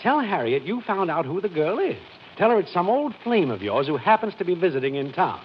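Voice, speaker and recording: A wry american neighbour buddy, high bouncy voice, needling, old dull radio recording. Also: male voice, very animated, a little fast, slight background noise. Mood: scheming. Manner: dry, needling, folksy. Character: wry, teasing, self-pleased male neighbour and pal. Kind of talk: 1940s radio sitcom acting.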